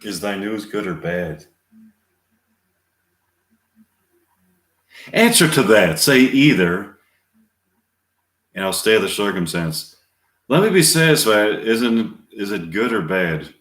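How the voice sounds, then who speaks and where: A man reading the part of an impatient, lovesick young girl in verse, earnest and a little halting. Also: lowish voice, animated, fairly bright tone. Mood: pleading. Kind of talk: amateur Shakespeare reading. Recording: echoey room.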